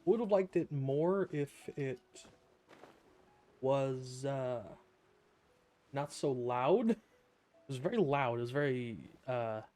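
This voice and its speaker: A man's voice: medium pitch, expressive, slow, pauses often, warm tone. Blunt, dry male game streamer. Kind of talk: casual live game commentary. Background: game music.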